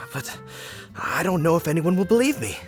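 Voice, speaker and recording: A man's voice: on the high side, big pitch swings, unhurried, music in the background. A man voicing a dramatic, earnest bard in a video game, theatrical and boastful. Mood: awed.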